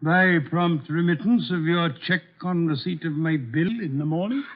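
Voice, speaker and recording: An actor playing an imperious, unruffled older detective in a 1950s radio drama, pompous and deliberate in his phrasing. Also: on the high side, natural voice. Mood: lofty. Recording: dull 1950s radio recording.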